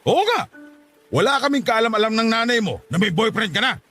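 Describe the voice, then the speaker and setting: A furious middle-aged father in a radio drama. He scolds in a rapid, raised-pitch voice, protective and indignant, over faint music. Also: expressive, a bit bright, exaggerated voice. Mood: scolding. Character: angry, protective father, soon a grandfather. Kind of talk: Tagalog radio drama acting.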